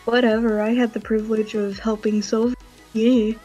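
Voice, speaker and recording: A woman's voice: fairly high pitch, level tone, normal speed, warm tone, poor audio. A woman chatting casually as a stream host, telling stories in an informal, rambling way with some swearing. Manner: filler-heavy, informal storytelling, swears. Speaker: livestream host. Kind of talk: casual chat. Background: music.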